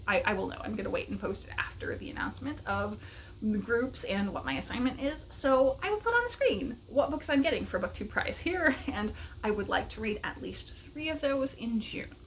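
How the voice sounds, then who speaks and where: A woman's voice: a little high, fairly bright tone, low-quality recording. A woman chatting casually and enthusiastically to camera as a book vlogger, with small giggles breaking into her talk. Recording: noticeable background noise.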